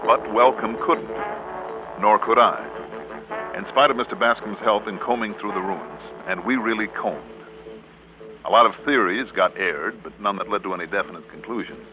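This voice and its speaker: A man's voice: fairly low pitch, moderate pace, lightly acted. An actor playing a cool, tough American insurance investigator who narrates his case in clipped, wry classic radio drama style. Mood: businesslike. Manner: clipped, wry, hard-boiled. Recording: tinny band-limited vintage radio recording, music.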